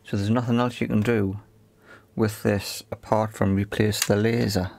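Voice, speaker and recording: A man casually explaining a hands-on tech repair in a matter-of-fact, halting way. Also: on the low side, level tone, creaky, darkish tone. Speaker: repair video presenter.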